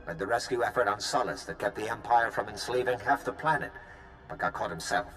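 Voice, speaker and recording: A man playing an earnest soldier in a video game, low-pitched but bright and forceful. He talks briskly and plainly over faint music. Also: lightly acted.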